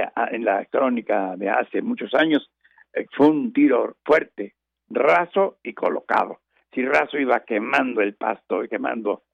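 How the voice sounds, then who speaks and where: Gravelly older man on a tinny radio line. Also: mid-range voice, dry recording. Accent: Mexican Spanish. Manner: digressive, many fillers and restarts. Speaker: radio host. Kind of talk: rambling radio chat and reminiscence. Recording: tinny phone-line broadcast.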